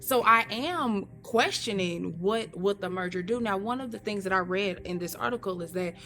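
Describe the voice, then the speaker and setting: A woman co-commentator on a radio talk show, discussing the news in a measured, explanatory, conversational way. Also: mid-range voice, pushed and bright. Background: music under the talk.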